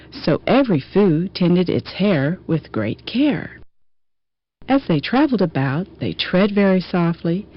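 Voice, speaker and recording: A woman narrates a rhyming picture book for children. Her delivery is expressive and melodic, rising and falling freely as she tells the story. The recording is a little dull and lo-fi. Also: fairly low pitch. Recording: dull, lo-fi audio.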